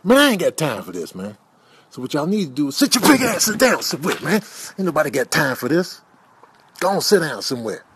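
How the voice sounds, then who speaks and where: A man voices an exasperated street cat lecturing in a comic animal video. The delivery is slangy, preachy and animated, over slightly noisy audio. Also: fairly high pitch, a little fast. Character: fed-up, scolding male street cat.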